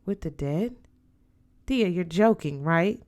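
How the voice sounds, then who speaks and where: A woman's voice: on the low side, lively intonation, takes it slowly, a little mellow. A woman voicing a reluctant, doubtful young girl in an audiobook, hesitant and questioning. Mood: doubtful, anxious. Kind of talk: audiobook character voice.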